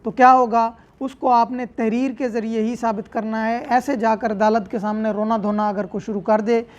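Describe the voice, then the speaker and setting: Higher-pitched Pakistani woman lecturing, muffled audio. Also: level tone, moderate pace, a little mellow. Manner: didactic, repeats and rephrases each point. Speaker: law lecturer. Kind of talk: explanatory lecture, reading statute and explaining it.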